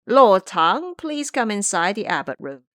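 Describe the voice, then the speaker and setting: A woman's voice: medium pitch, very animated, unhurried, a bit bright, no echo, studio quality. A woman voicing a timid, fawning male abbot, deferential and formal, in an audio drama. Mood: loud welcome.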